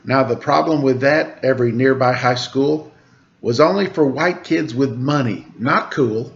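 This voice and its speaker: A man reading a rhyming children's picture book aloud in a sing-song storytime manner, giving the quoted lines a lively lift. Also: medium pitch, unhurried, gravelly, lo-fi sound.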